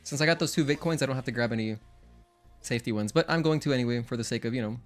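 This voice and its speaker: A young man chats through his live-streamed speedrun in a loose, rambling way, often correcting himself mid-sentence. His voice sits at an ordinary mid pitch, recorded on a home desk mic. Soft game music plays faintly underneath. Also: racing. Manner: chatty, rambling, self-correcting. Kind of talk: casual live-stream commentary.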